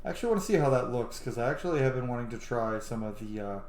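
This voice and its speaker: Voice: mid-range voice, lively intonation, very fast, darkish tone. A streamer giving casual gameplay commentary, rambling and thinking aloud. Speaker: streamer playing a role-playing game. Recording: roomy echo, faint background noise.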